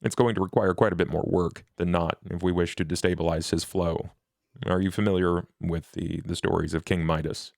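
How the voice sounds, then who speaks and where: Composed vampire financier for tabletop roleplay, acted by a man; bass register, measured and formal, recorded close and dry. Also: steady tone, creaky, darkish tone, no echo. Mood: wry, cautious.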